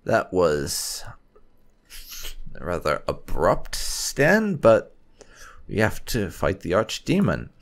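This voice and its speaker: A man hosting a roleplay let's play, commenting in a theatrical, wry, drawn-out way. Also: sits low, huge pitch swings. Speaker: gaming channel host. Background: faint music.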